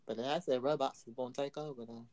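A man's voice: mid-range voice, fairly even intonation, fast, darkish tone. A man hosting a podcast in casual chat, laughing often and teasing his co-hosts. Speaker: podcast host.

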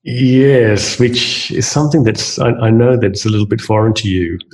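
A man's voice: fairly low pitch, moderate pace, a little mellow, breathy edge. A man hosting a podcast, introducing a guest in a loose, hesitant way with many fillers and restarts. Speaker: podcast host. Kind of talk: casual podcast chat.

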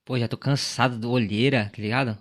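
A twenty-year-old man with a São Paulo accent, telling his story as a podcast guest in slangy, animated casual chat. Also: mid-range voice, brisk. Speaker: podcast guest, delivery cyclist.

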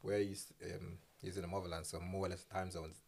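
A male podcast host talks informally with a group. His voice is very low and somewhat rough and husky, and his phrasing is slangy and conversational. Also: little pitch movement, a little fast, a little mellow.

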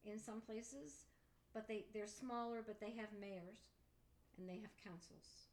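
A woman's voice: medium pitch, measured pace, darkish tone. Pennsylvania-accent lady, talkative, corrects the details, explains things step by step. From New York. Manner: talkative, precise about facts, corrects others. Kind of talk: casual interview conversation.

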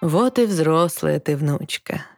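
Stately old sea grandmother: deepish, unhurried, instructive delivery of fairy-tale verse. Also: animated, vocal fry, soft background music, heavily acted. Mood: solemn, fond. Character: stately old mermaid grandmother, firm and ceremonious.

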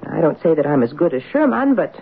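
Devoted wry wife, 50s, old radio drama; expressive swings in pitch, thin band-limited sound. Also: female voice, fast, gravelly, narrow, tinny audio, slight background noise, full character voice. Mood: eager, earnest. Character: devoted, wry middle-aged detective's wife, keen amateur graphologist.

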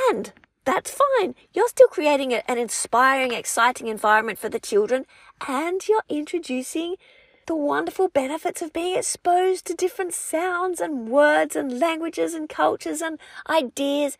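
An encouraging Australian woman coaching would-be language tutors in a high, bright-pitched voice with a gravelly edge of vocal fry. She sounds reassuring and emphatic, and the sound is close and dry, with no room echo. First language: English. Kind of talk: encouraging talk to aspiring tutors.

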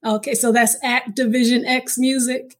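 A woman's voice: a little high, flat delivery, moderate pace. A woman hosting a live radio interview, speaking in an affirming, encouraging way as she questions her guest. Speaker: radio show host and interviewer.

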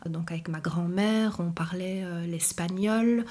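Mellow-voiced woman, eager and halting. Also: on the low side. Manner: enthusiastic, halting, restarts phrases. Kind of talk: casual interview testimony.

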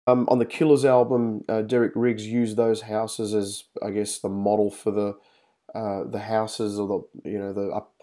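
A man's voice: on the low side, flat delivery, moderate pace, warm tone. A man hosting an interview, asking long rambling questions with many fillers. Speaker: host. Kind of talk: interview host.

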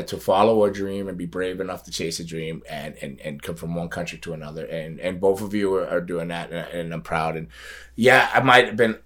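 Laid-back rambling man, low voice. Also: fairly bright tone. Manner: laid-back, rambling with many restarts and fillers. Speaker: podcast host and former wrestler. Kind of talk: casual interview chat.

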